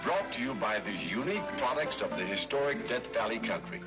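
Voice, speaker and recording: An actor playing a folksy old ranger who introduces western stories in a vintage broadcast style. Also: male voice, fairly low pitch, very animated, fairly quick. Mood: folksy, welcoming. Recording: old western broadcast under loud music. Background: loud music.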